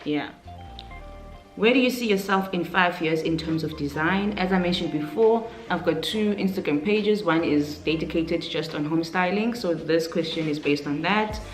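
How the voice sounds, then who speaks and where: A South African woman in her late twenties speaks in a slightly low voice. She talks informally, as in a casual vlog, working out her answers aloud. The room is clearly echoey, with faint music playing underneath. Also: normal speed. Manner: informal, conversational, thinking aloud. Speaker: YouTuber, vlogger.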